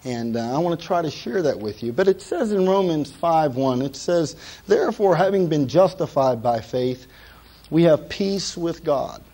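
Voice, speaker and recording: A hoarse middle-aged man preaching from the pulpit, his voice worn down by a cold. His tone is dark and mellow. He talks in a folksy way, full of asides and "uh" fillers. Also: on the high side, lively intonation, moderate pace. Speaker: pastor. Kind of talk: sermon.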